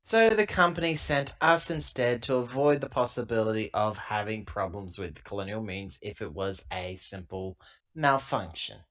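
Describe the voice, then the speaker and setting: A man's voice: lowish voice, animated, moderate pace, a bit bright. A man playing a female sci-fi crew member in a tabletop game, explaining terms hesitantly. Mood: questioning. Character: Drake, female crew member minding the contract. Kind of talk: improvised tabletop roleplay dialogue. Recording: dull lo-fi laptop recording.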